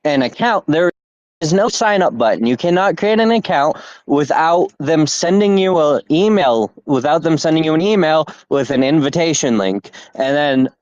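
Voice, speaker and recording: A man's voice: fairly high pitch, expressive, moderate pace, a little mellow, no echo. A young man co-hosting a casual podcast, rambling with restarts as he vents about websites. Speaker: podcast co-host. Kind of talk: casual chat. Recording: dull lo-fi dry sound.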